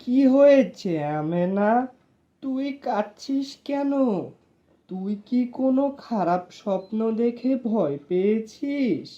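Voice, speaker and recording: A woman's voice: fairly high pitch, lively intonation, takes it slowly, mellow. A woman voicing a sulky, blunt young boy, a lazy brother in a children's cartoon. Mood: concerned. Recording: dull lo-fi voice track.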